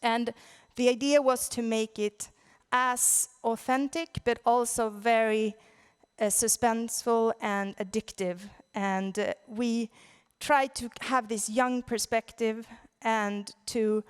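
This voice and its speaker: A woman with a Swedish accent presenting as a conference speaker, in a halting way, searching for words. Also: a little high, fairly even intonation, clean tone. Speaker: conference speaker, audio drama producer. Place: large indoor conference venue. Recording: reverberant conference hall.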